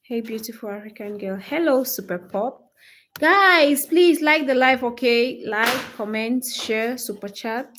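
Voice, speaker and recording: A woman in her twenties with a Cameroonian English accent, chatting casually with livestream viewers in an animated, opinionated and emphatic way. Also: fairly high pitch, a little slow, a little hiss or hum. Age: 20s. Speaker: livestream host, English-teaching creator.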